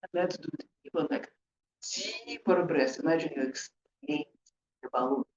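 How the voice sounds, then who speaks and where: A woman speaking English as a second language, presenting to a group in short, deliberate, emphatic phrases with rhetorical questions. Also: low-pitched, lively intonation, a little mellow. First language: not English. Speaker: presenter, hypnotherapist. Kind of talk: interactive talk to a group. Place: room with an audience, indoors. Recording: echoey, muffled room recording with background noise.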